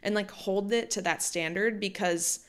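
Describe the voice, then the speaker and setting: A woman's voice: medium pitch, normal speed, clean tone. A woman in her late twenties hosting a solo podcast, talking casually with lots of "like" fillers. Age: late 20s. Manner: chatty, frequent "like" fillers. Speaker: podcast host.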